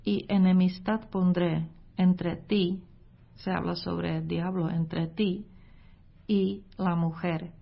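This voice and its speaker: Slow, gravelly-voiced woman preaching. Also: on the low side, flat delivery, lo-fi sound. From Czech Republic. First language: Czech. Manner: careful non-native Spanish with frequent hesitations. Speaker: missionary preacher. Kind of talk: Christmas devotional message.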